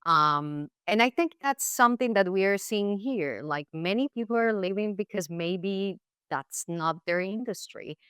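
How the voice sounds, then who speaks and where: A woman's voice: mid-range voice, animated, a little slow, fairly bright tone, clean tone, no room sound, studio quality. A Colombian woman whose first language is Spanish, speaking English with a Colombian accent, reflecting as an interview guest with many fillers and restarts. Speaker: guest actress. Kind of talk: casual interview chat.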